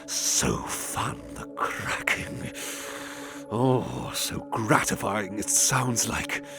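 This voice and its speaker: A man playing a refined, sadistic serial-killer nobleman in a horror audio drama, gloating theatrically and savouring his words with breathy drops. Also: lowish voice, very animated, very slow, full character voice. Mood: gloating relish. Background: music under the voice.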